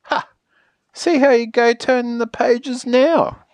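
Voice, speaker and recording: A woman voicing an exasperated, pleading character in a children's picture book, theatrical and emphatic with drawn-out pleas. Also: fairly high pitch, steady tone, a little mellow, no echo, pitched above the performer's own voice. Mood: threatening, bossy.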